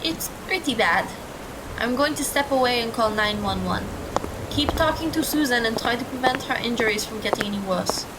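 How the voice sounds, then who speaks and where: A woman playing a careful, matter-of-fact teenage girl in an audio drama with rumbling noise. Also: animated, normal speed, lightly acted. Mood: urgent. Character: sensible, careful teenage girl, former hockey player.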